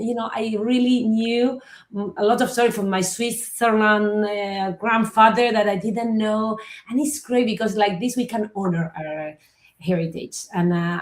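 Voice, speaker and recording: A woman speaks English on a video call, pausing often to find her words. Her voice is mid-pitched and her room gives a clear echo. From Argentina. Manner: searching for words, many fillers. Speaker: guest member. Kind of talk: casual video call chat. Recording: roomy echo.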